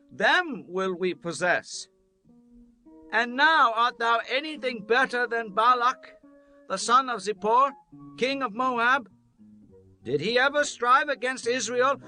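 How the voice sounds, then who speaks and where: A man's voice: very high voice, sharp tone, heavily acted, low-quality recording. A man playing a stern, defiant Israelite leader in a dramatized scripture reading, declaiming formally as he argues his cause. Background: music.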